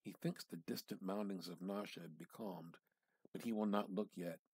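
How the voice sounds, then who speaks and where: A man giving a measured, theatrical reading of a literary short story, drawing out words and pausing for effect. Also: deep voice, a little mellow, husky, no echo. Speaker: amateur reader practicing dramatic reading.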